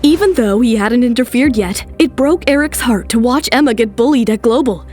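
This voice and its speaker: Female narrator, high pitch, scripted dramatic storytelling, audio drama, music bed. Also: normal speed, darkish tone.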